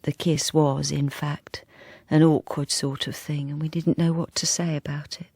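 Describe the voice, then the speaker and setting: An actress playing a timid, wistful middle-aged wife in a radio drama, speaking in a hesitant, confiding way. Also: female voice, low-pitched, level tone, normal speed, a little mellow, slightly rough, dry recording, studio quality. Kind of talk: radio drama acting with first-person narration.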